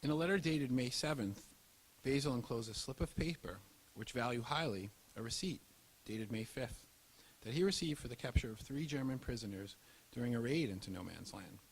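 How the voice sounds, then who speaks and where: A man's voice: mid-range voice, lively intonation, moderate pace, low-quality recording. A man delivering scripted historical narration in the manner of a documentary. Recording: audible background hiss.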